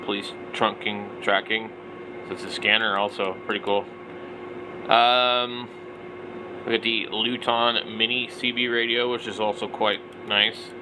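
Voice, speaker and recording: Low-voiced middle-aged man over background noise. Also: little pitch movement, a little slow. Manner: blunt, opinionated, mildly slangy. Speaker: hobby radio vlogger. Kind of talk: casual, unscripted product browsing commentary.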